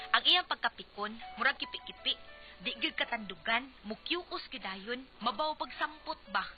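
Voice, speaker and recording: A woman's voice: a little high, lo-fi sound, natural voice. A woman playing a nagging, skeptical wife in a Cebuano radio drama, exasperated and questioning, with a Cebuano Filipino accent. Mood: complaining. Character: nagging, skeptical wife of a local political candidate.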